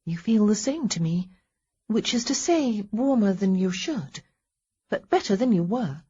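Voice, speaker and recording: A breathy, tender young woman from a storybook, nervous and hopeful, chattering with a slight tremble, as a female narrator puts her on. Her voice sits higher than the narrator's and swings up and down. The recording sounds dull and muffled. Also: expressive, a little slow, warm tone. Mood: nervous cheer. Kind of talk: audiobook character voice.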